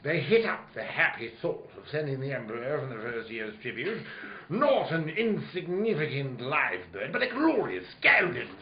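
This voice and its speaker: An actor playing a pompous, courtly, greedy crime boss in a noir radio play, florid and grandiose. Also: male voice, mid-range voice, sing-song, normal speed, hardly any pauses. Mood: gloating. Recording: dull roomy recording with background noise.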